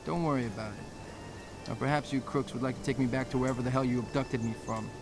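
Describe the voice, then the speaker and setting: An actor playing a young, defiant but earnest American army corporal with a brash, plainspoken manner and an American accent in a game cutscene. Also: male voice, mid-range voice, fairly even intonation, fast talker, background noise, lightly acted. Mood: defiant sarcasm. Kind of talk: video game cutscene voice acting.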